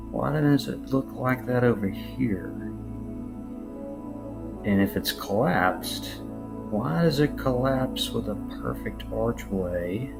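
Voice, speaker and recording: A man giving casual, unscripted commentary in a musing, halting way, often posing rhetorical questions. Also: on the low side, vocal fry. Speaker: video narrator. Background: new-age music.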